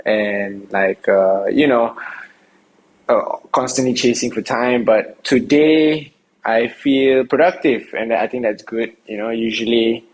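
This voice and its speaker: A man's voice: medium pitch, slow pace, rough recording. A young man from Singapore answering interview questions outdoors in a casual, rambling way, full of fillers and restarts. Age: young adult. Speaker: interview guest, rapper.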